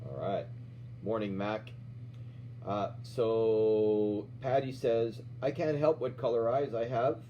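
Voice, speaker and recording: A middle-aged man reading a children's storybook aloud as an instructor, pausing to explain and check in with questions. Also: lowish voice, fairly even intonation. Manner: checks in with questions, often ending "right?". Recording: dull lo-fi sound with faint hum.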